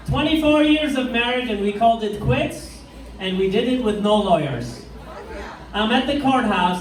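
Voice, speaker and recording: A middle-aged man from Iraq with an Iraqi accent doing a stand-up set in a pub, delivering deadpan one-liners with slow punchlines. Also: high-pitched. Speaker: stand-up comedian. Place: pub stage with live crowd. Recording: echoey room, mic heard from far off.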